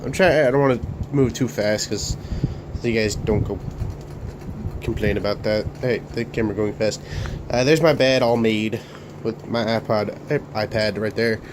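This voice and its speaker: A young man hosting a casual room tour vlog of his bedroom studio, talking haltingly with many fillers and restarts while coming down with a cold. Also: fairly low pitch, noisy background. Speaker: Lego channel host.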